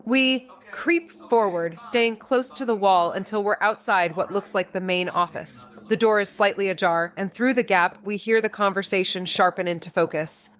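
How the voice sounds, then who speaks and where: An actress playing a reckless amateur sleuth who narrates her own noir story in a wry, deadpan, atmospheric way. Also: mid-range voice, normal speed, few pauses, close to an everyday voice. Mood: tense, hushed curiosity. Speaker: actor narrating a podcast drama. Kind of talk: first-person noir narration and dialogue.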